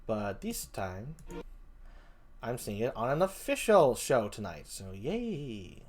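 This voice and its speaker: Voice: mid-range voice, wildly swooping pitch, slow pace. A live streamer chatting casually with the chat while setting up to sing, talking loosely and swearing when flustered. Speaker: VTuber streamer.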